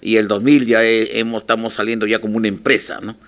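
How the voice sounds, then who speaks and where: An older man with a Peruvian Spanish accent speaks haltingly and informally in an interview, addressing his listeners. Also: on the low side, little pitch movement, a bit bright. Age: 60s or older. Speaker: radio station manager, interviewee. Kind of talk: informal televised statement to listeners. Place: small office, indoors. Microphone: handheld reporters' microphones held close.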